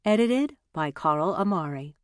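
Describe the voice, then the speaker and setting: A woman announcer with a lowish voice, reading scripted titles very slowly and deliberately. The sound is close and dry. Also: lively intonation, no room sound. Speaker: audiobook announcer.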